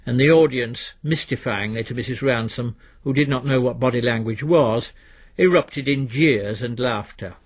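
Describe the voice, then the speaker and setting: A man reading literary fiction as a narrator, in a measured, precise and dry storytelling manner. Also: medium pitch, slightly rough. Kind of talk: scripted literary audiobook narration.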